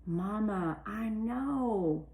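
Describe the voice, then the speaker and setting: A woman's voice: medium pitch, animated, very slow, dark tone. Earnest, strained working woman, read by a storyteller. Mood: apologetic, pleading. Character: busy, devoted woman engineer pleading with her mother. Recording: roomy, echoey sound.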